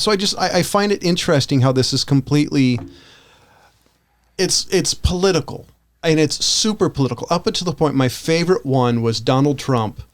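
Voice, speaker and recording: A man co-hosting a podcast, talking through his opinions casually in a halting, rambling way with frequent restarts. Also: mid-range voice. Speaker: podcast co-host.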